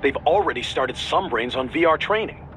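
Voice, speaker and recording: A man's voice: on the high side, expressive, normal speed, fairly bright tone. An actor playing a savvy, skeptical male mission adviser, explaining wryly in a video game cutscene. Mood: serious, skeptical.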